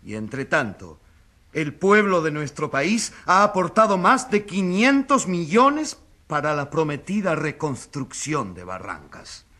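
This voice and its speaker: An actor playing an indignant crusading newspaper editor, declaiming emphatically as the accuser in a courtroom radio drama. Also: male voice, on the high side, expressive, measured pace, pushed and bright, heavily acted. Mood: indignant, accusing. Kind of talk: theatrical radio drama oratory.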